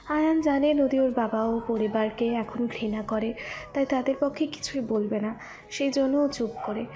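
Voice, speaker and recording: A woman's voice: fairly high pitch, a little mellow, muffled recording. A woman giving scripted, dramatic narration of a story as its narrator.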